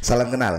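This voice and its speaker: A man hosting a casual commentary, chatty with laughing asides. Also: mid-range voice. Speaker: commentary host.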